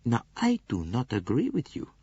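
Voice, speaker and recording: A man's voice: mid-range voice, huge pitch swings, moderate pace, a little mellow, husky, no echo, muffled recording, pitched above the performer's own voice. A man voicing a shrewd, self-assured Belgian detective with a French accent, precise and courteous, in an audiobook. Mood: reassuring.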